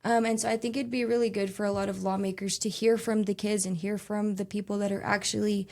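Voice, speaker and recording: A woman's voice: mid-range voice, level tone, fast, darkish tone. A young woman from Texas, a guest talking in an earnest, casual way with lots of fillers and restarts. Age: young adult. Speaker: guest, youth advocate. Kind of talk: casual interview conversation.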